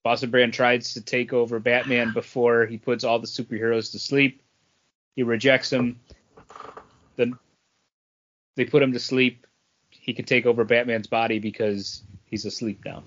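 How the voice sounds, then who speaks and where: Mid-pitched man in informal podcast chat. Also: little pitch movement, moderate pace, long pauses, fairly bright tone. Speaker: comics podcast co-host. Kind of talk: casual discussion. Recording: dull lo-fi audio.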